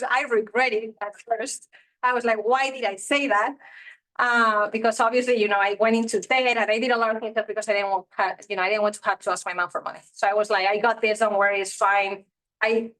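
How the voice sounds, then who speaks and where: A woman's voice: mid-range voice, a bit bright, rough recording. Chatty thirty-something woman from Spain. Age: 30s. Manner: chatty and candid, heavy use of "you know" and "like". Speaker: interview guest, real estate investor. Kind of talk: casual interview chat.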